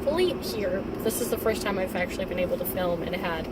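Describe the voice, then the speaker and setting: A young woman with a hoarse voice from recent laryngitis, chatting casually about a book she read while driving, with plenty of fillers. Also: fairly low pitch, fairly quick, recorded from a distance. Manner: chatty, rambling, many fillers. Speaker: book reviewer. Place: inside a car on the road. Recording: car cabin with loud road noise, off-mic.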